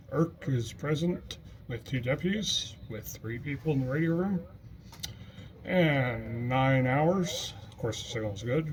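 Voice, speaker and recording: A man's voice: mid-range voice, poor audio. A man acting as net controller, calling stations in turn in an orderly, procedural way on a ham radio net.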